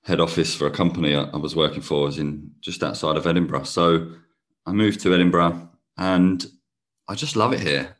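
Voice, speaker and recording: A man from Kent, a podcast guest, talking in a relaxed, enthusiastic way with a slightly croaky voice. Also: a bass voice. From Kent, England. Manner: relaxed, enthusiastic, laughs easily. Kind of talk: casual interview chat.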